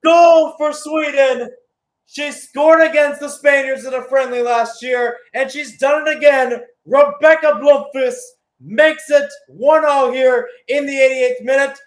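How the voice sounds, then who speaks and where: A man's voice: very high voice, fairly even intonation, measured pace, low-quality recording. A man giving live radio-style play-by-play commentary on a football match, with exclamatory phrasing and rhetorical questions.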